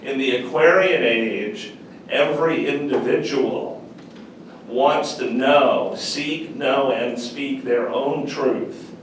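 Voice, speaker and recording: A middle-aged man giving an earnest, measured talk as a panel speaker, repeating phrases for emphasis. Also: medium pitch, a little hiss or hum. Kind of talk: reflective public talk. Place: university meeting room. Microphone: far camera. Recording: echoey room from far off.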